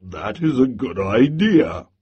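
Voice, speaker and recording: A man voicing a big, grumpy, menacing male bear in a storybook, growling low. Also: sing-song, slow, husky, highs cut off, heavily acted. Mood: gruff.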